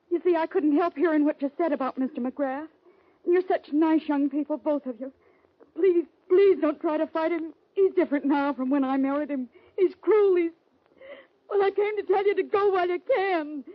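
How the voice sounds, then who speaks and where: An actress playing a timid, anxious rancher's wife in a radio western, speaking hesitantly and gently with an American accent. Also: female voice, very high voice, normal speed, no echo. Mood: tearful pleading. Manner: hesitant, fluttery, pleading. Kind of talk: old-time radio western acting. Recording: dull vintage radio recording.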